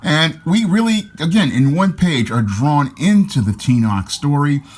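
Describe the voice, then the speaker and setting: A man's voice: a little high, lively intonation, unhurried. A man giving an enthusiastic, casual comic book review, often repeating himself as he talks. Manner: enthusiastic, repeats "again" and "your".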